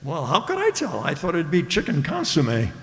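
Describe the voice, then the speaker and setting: An actor playing a glib, wry captive broadcaster in a satirical radio play, talking in a dry, leading manner. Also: male voice, on the high side, wildly swooping pitch, normal speed, gravelly, fairly bright tone, exaggerated voice. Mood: grumbling. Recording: hall-like stage reverb.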